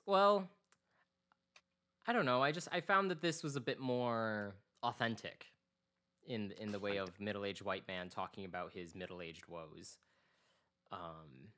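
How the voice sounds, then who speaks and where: A man's voice: lowish voice, big pitch swings, unhurried, pushed and bright. A man in his twenties co-hosting a music podcast, talking earnestly in a rambling way with many restarts. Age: 20s. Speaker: podcast co-host. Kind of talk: casual chat.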